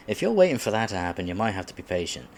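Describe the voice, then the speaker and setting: Man acting flustered geeky guy, very swoopy pitch. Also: darkish tone, slight background noise. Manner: flustered, stammering, self-deprecating. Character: geeky, awkward silver fox man on blind date. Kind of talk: roleplay audio drama acting.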